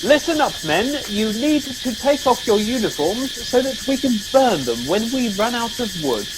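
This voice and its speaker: An actor playing a genteel, polite second-in-command, mildly appalled, in a comic radio play. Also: male voice, very high voice, very animated, normal speed, background noise. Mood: dutiful. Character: genteel, languid public-school English male second-in-command.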